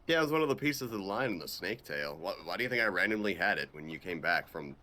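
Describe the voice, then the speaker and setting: A man playing a cocky, dim-witted action agent in a comedic game fan dub, with deadpan, hesitant, sweary banter. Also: low-pitched, fast talker. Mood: hesitant, sardonic.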